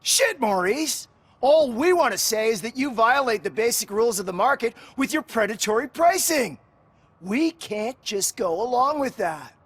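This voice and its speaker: Game role: no-nonsense streetwise guy, high voice, insistent delivery, close dry sound. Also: expressive, moderate pace, fairly bright tone, slightly rough, dry recording, heavily acted. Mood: insistent, annoyed.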